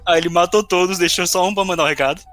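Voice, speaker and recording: A man's voice: sits high, fairly even intonation, racing, a bit bright. A Brazilian man chatting casually as a podcast co-host, teasing and wry in his commentary.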